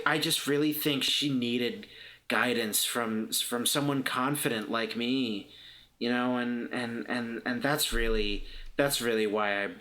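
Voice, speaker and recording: A man plays a self-satisfied young woman in a tabletop game, with a bright, forward voice. She talks down to people in a halting, hedging way, full of patronizing sympathy. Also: mid-range voice. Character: self-satisfied, condescending young woman, small-business recruiter.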